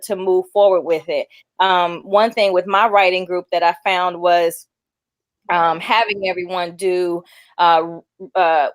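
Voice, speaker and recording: Mid-pitched woman, middle-aged, chatty author, laughing a lot, keeps repeating herself to make a point. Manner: encouraging, laughs often, repeats phrases for emphasis. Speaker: author guest. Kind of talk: casual panel talk.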